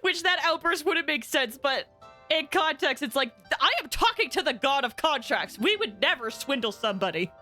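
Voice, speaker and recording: A woman streamer giving excitable, giggly live reactions and commentary on a game. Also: high voice, normal speed, faint music behind.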